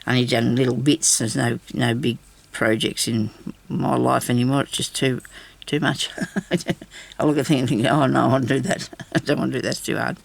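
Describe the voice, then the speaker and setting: Older woman, deep raspy voice, gravelly fry, chatty craft vlog. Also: fairly even intonation, brisk. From Australia. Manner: chatty, self-deprecating, laughs often. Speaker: craft vlogger. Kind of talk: rambling casual chat while crafting.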